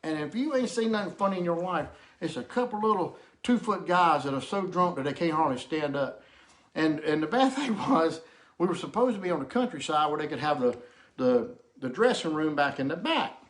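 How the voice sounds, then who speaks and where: A man's voice: fairly high pitch, expressive, a little fast, fairly bright tone. A man telling a casual comic anecdote to camera in a rambling, folksy way, often repeating himself. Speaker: storyteller.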